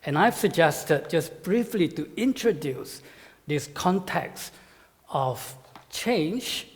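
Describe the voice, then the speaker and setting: A man giving a conference talk to pastors as a guest speaker, with a measured, halting delivery full of fillers and repeated words. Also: a little high, lively intonation. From Malaysia. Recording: reverberant hall sound.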